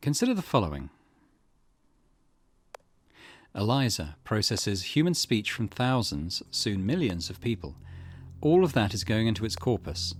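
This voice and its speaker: A man voicing a calculating, ambitious tech executive in a visual novel, speaking in a measured, formal, lecturing way. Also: on the low side, very animated, breathy edge. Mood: cool, explanatory.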